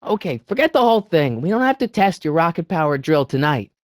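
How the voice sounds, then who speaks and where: A man's voice: on the high side, big pitch swings, no room sound, clean studio sound. A young man with an American accent voicing an earnest, anxious teenage boy in a video game, eager to persuade and often hesitant. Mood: determined. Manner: hesitant, stammering, eager, fast-talking persuader. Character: earnest, anxious teenage boy hero of a time-travel adventure. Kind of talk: video game character dialogue.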